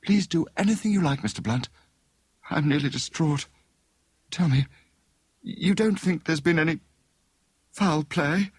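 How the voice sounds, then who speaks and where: Man voicing anxious young woman, raised pitch. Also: unhurried, pauses often, breathy edge, no room sound. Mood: anxious, distraught. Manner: polite, earnest. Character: anxious, well-mannered young Englishwoman, tall and steady.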